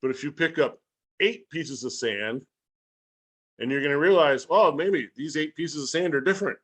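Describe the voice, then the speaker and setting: A man's voice: fairly high pitch, normal speed, breathy edge. A man giving a casual, explanatory talk as a guest educator, informal and folksy, often acting out imagined bits of dialogue. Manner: animated, acts out imagined dialogue. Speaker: guest speaker and science educator.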